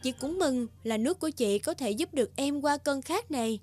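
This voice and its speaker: A woman's voice: high-pitched, lively intonation, fairly quick, music in the background. A woman voicing a kind, generous big-sister pond spirit in a children's cartoon fairy tale. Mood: reassuring. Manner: gentle, reassuring.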